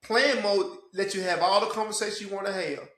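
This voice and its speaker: A man's voice: sits high, steady tone, a little fast, a bit bright, reverberant, a little hiss or hum. A man from Memphis hosting a casual tech podcast chat, stumbling and repeating words as he explains. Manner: repeats words and restarts often. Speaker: podcast host.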